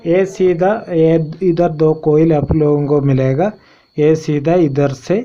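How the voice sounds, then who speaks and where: A man giving an informal step-by-step repair tutorial, explaining in a halting, repetitive way. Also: fairly high pitch, fairly even intonation, mellow. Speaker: phone repair instructor and channel host. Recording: dull lo-fi home recording.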